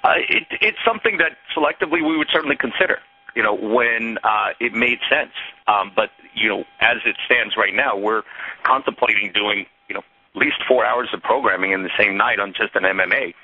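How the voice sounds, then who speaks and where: Lowish husky man on phone line. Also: a little fast. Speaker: television sports executive. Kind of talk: corporate press answers. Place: conference call over phone. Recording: dry, narrow telephone line.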